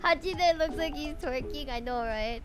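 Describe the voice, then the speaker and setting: A woman's voice: sits high, moderate pace, over music. A young woman from the Philippines, streaming live and chatting casually with her viewers in a bubbly, giggly way.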